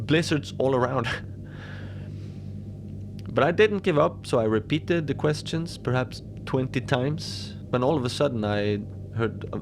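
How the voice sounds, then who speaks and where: A man's voice: fairly low pitch, vocal fry, noisy background. A man playing an unflappable lone facility manager at an Antarctic station, rambling casually and wryly through a cozy horror audio diary. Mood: animated, intrigued. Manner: casual rambling, wry understatement, small chuckles. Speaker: host and narrator of a fiction podcast.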